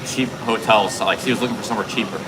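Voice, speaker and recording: A man livestreaming on a city street, talking casually with a loud, carrying voice and lots of fillers and restarts. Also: on the low side, very fast. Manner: rambling, many fillers and restarts. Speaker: livestream host. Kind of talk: casual chat while streaming. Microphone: streaming camera rig. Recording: clear street noise.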